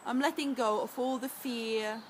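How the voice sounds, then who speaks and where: A woman's voice: a little high, steady tone, measured pace, slight background noise. Soothing woman guiding tapping. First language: Czech. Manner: soft, soothing and encouraging. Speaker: EFT tapping practitioner. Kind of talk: guided tapping session and personal story.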